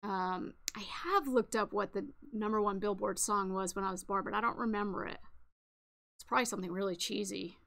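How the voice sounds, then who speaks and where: A woman around forty with a raspy, fairly low voice, hosting a casual craft livestream and chatting with viewers in a rambling way with lots of ums. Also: brisk, fairly bright tone. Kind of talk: casual live chat answering viewers.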